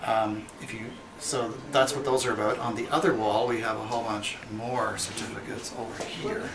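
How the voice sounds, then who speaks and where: A man gives an informal explanatory walkthrough of a radio station, speaking in a halting, matter-of-fact way. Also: lowish voice, steady tone, over a noisy crowd. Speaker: radio club member giving a station tour. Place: indoor amateur radio station room. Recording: roomy, noisy recording, distant microphone.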